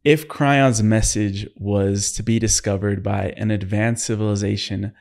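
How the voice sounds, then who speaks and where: Casting: young male podcast host, fairly low voice, relaxed and curious. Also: a little slow, gravelly. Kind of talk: casual interview talk.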